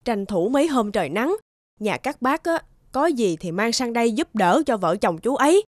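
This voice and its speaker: An actress playing a kind, generous, bustling neighbour in an animated moral tale, emphatic and colloquial. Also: female voice, a little high, moderate pace, no echo, studio quality. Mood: helpful. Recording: music behind the voice.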